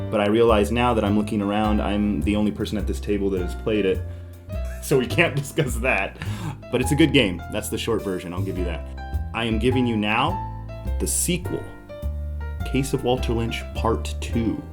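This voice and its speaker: Guy podcast host, lowish voice, loose chatty game talk, animated. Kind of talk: casual board game chat.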